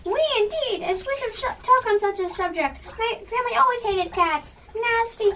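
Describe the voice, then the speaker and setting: A young-sounding performer voicing a small, squeaky, easily offended talking mouse in an amateur radio play, haughty and indignant. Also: animated, normal speed, bright, forceful tone, exaggerated voice. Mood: offended, disdainful. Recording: noisy amateur recording.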